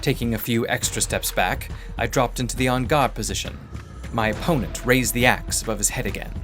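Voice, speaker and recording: Measured male storyteller over music. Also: medium pitch, a bit bright. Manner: measured, formal, literary. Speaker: narrator. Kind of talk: scripted first-person adventure story narration.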